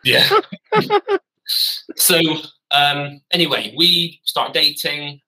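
Guy, 30s, podcast guest on a call from his garden office; husky, forceful tone, meandering and self-correcting. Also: on the high side, drawn-out words, poor audio. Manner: rambling, self-correcting, wry. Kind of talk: casual storytelling.

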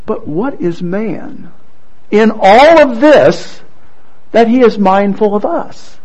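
A man preaching a sermon and reading scripture aloud, earnest and halting, with frequent restarts. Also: high voice, animated, a little mellow, highs cut off. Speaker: pastor.

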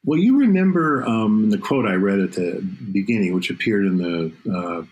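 A man's voice: on the low side, animated, normal speed. A man from the Chicago area interviewing a guest, reacting eagerly and often restarting his sentences. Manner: warm enthusiastic reactions, frequent restarts. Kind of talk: casual conversational interview.

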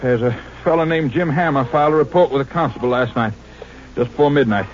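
A man's voice: medium pitch, fast, lightly acted. An actor playing an eager small-town lawman with folksy, ungrammatical speech in a 1950s radio crime drama. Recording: dull 1950s radio recording.

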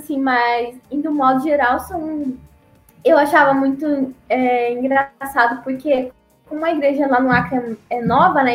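A young woman from Brazil, chatting casually and laughing often as she tells stories, acting out the people she quotes in a higher voice. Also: echoey room, rough recording. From Paraná, southern Brazil. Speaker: guest, former missionary. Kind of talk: casual conversational storytelling.

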